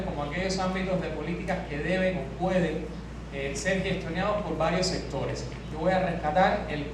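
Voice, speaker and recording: Cuban guy with a worn out voice presenting at a seminar, lots of ehs and restarts, far off mic, noisy hall. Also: on the high side. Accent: Cuban Spanish. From Cuba. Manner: measured, with frequent hesitations and restarts. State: voice strained from hours of teaching. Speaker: conference speaker. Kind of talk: academic seminar talk. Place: large conference hall.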